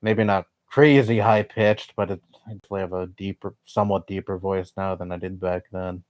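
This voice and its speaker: Young male streamer, low-pitched, blunt chatty rambling, dry close mic. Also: fairly even intonation, fairly quick, a little mellow, no room sound. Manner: blunt, swears often, rambling opinions. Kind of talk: casual live-stream chat.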